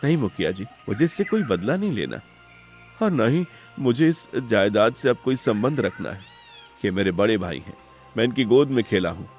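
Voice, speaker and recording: A man's voice: fairly low pitch, sing-song, normal speed, a little mellow, faint music behind. Man voicing a hurt, principled younger brother. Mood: sad, resolute. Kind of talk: melodramatic Hindi moral-story cartoon acting.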